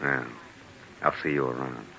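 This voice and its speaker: An actor playing a tough, wisecracking American private detective in clipped, sardonic 1940s radio noir style. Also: male voice, rumbling low, level tone, normal speed, sharp tone. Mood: wry. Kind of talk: 1940s radio noir acting, hardboiled narration. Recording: hissy 1940s radio recording.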